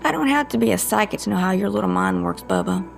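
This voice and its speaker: A woman's voice: medium pitch, wildly swooping pitch, fast, vocal fry, music in the background, full character voice. An actress playing a wry, guarded psychic woman in an audio drama, with a dry, teasing, sarcastic manner. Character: wry, guarded psychic woman who teases her old friend. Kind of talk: scripted audio drama dialogue.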